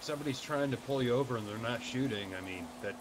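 A man's voice: mid-range voice, fast, noisy background. A man talking casually over voice chat as a player in an online roleplay game.

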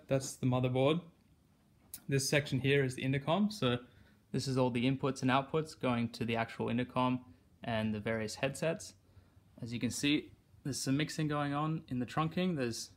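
Casual man presenting a hands-on vlog. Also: medium pitch. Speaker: vlog host. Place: carpeted room at home, indoors. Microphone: handheld camera or phone mic, speaker behind the camera.

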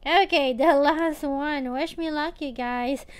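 A woman's voice: sits high, takes it slowly. A woman casually commenting on her own gameplay, chatty and playfully whiny, thinking aloud with many filler sounds. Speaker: gaming channel host. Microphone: tablet or phone mic.

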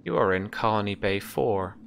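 A man voicing an impersonal, unhelpful ship's computer in a tabletop game, with measured, formal, halting delivery. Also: lowish voice, vocal fry, slight background noise. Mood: impersonal, withholding. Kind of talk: tabletop game role-play.

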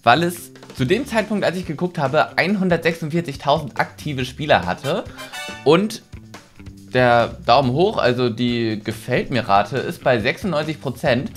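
Male gaming host, twenties, very lively pitch, casual and expressive, close mic, faint music underneath. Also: normal speed. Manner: expressive, self-deprecating, thinking aloud. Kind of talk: let's play commentary, casual chat. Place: small room at home, indoors. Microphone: desk studio microphone, close.

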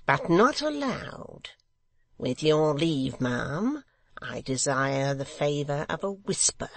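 A man's voice: a little high, big pitch swings, takes it slowly, fairly bright tone, dry recording, exaggerated voice. A man voicing a sly, mock-courteous blind extortioner in a theatrical, insistent storybook reading. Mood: insinuating.

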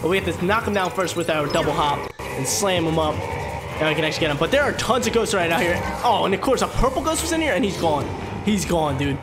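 A man's voice: sits high, animated, a little fast. A young man with a stuffy, congested voice, commentating his video game playthrough to viewers on a headset mic, hyped and chatty. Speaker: gaming channel host. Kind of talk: gameplay commentary. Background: game music.

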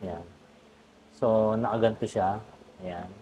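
A man with a fairly low voice talks through a hands-on tutorial in a casual way. He often halts and searches for the next word. There is clear background noise in the recording. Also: fairly even intonation, warm tone. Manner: halting, searching for words. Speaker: vlogger giving a tutorial. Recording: clearly noisy background ambience.

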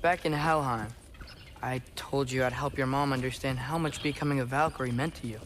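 A man's voice: mid-range voice, fairly even intonation, brisk, a bit bright, slight background noise, close to an everyday voice. A young-sounding male actor playing an earnest, awkward teenage boy in a video game, hesitant and apologetic. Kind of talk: video game cutscene acting. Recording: background music under dialogue.

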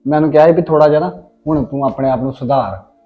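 A man's voice: on the high side, fast, phone-quality sound, faint music behind, close to an everyday voice. A man with a Punjabi accent playing an indulgent older brother who teases and reassures his sister. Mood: earnest. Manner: fond, teasing reassurance. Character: indulgent older brother, fond, mildly exasperated. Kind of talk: comic family skit acting.

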